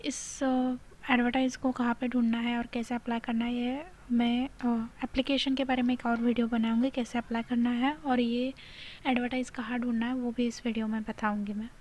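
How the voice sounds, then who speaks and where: Higher-voiced Indian woman, fairly even tone. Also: normal speed. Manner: mixes Hindi with English phrases. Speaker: video host explaining a job notification. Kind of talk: informal explainer, reading out and explaining details. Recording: clear background noise.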